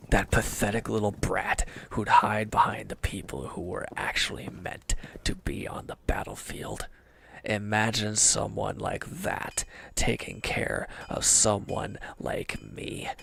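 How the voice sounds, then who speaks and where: A man playing a wounded, proud villain in an intimate audio drama, sullen and guarded, curt and halting in a breathy murmur. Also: on the low side, lively intonation, a bit bright, full character voice. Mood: scornful, menacing.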